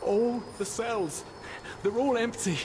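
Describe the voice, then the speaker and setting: A frightened male prisoner in a video game, speaks in a high, fearful voice. He is slow and hesitant, stumbling over his words with meek, fatalistic despair. Clear noise sits behind the voice. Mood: horrified, despairing.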